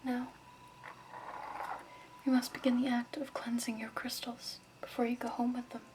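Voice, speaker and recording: A woman speaks in a soft, breathy whisper, playing a gentle shopkeeper in an ASMR roleplay. Her delivery is measured and extremely even, with a slightly high pitch where she voices it. Faint noise and ambience sit behind her. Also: poor audio. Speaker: ASMR roleplay host as crystal shop keeper.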